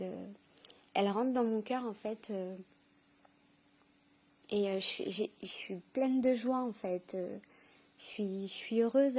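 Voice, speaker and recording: A woman giving a spontaneous, heartfelt testimony, speaking haltingly and earnestly as she searches for her words. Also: mid-range voice, mellow, rough recording. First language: French. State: emotional, tearful with joy. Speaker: person giving a personal testimony.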